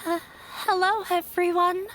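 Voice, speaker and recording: A woman playing a shy, nervous young dancer in a video game, halting and awkward. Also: very high-pitched, flat delivery, fairly bright tone, slight background noise. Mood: nervous, hesitant. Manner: hesitant, halting.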